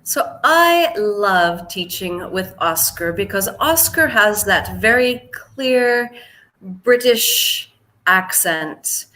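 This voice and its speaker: An American woman from Seattle teaching English on a live video call, chatty and joking, slowing down when she greets her students. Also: medium pitch, expressive, sharp tone, rough recording. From United States, Seattle. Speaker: English teacher hosting a live online class. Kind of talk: live online lesson, chatty.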